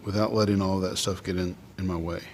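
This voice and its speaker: Low-voiced man musing over his drawing. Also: level tone, quick pace, a little mellow, slight background noise. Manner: musing, thinking aloud, trailing off. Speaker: artist hosting a drawing video. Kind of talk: casual unscripted art demonstration talk.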